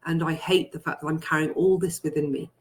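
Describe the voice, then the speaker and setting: A guide leads a healing meditation in a mid-pitched voice. The phrasing is deliberate and repetitive, built from first-person affirmations. Key statements are repeated with emphasis. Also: fairly even intonation. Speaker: guided healing session leader.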